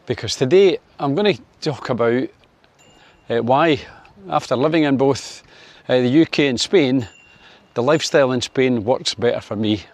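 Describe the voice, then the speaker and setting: A Scottish man in his sixties from Scotland, chatting to camera on his vlog in a wry, filler-heavy way. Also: normal speed. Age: 60s. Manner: chatty, wry, lots of fillers, lifts his pitch for sunny Spain. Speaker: vlogger. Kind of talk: casual opinion vlog to camera.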